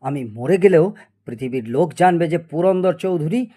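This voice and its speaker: A man's voice: a little high, expressive, moderate pace, warm tone, slight background noise, lightly acted. A man acting a proud, obsessive middle-aged scientist in a Bengali audio story, theatrical and prone to stammer when agitated. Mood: defiant, desperate. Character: proud obsessive male scientist, boastful and stubborn.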